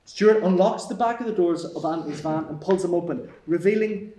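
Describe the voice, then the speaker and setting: Casting: male narrator for screenplay action lines, higher-pitched, recorded with clear room echo. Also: fairly quick, dark tone, a little hiss or hum. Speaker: narrator reading stage directions. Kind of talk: scripted screenplay narration at a table read. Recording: echoey room.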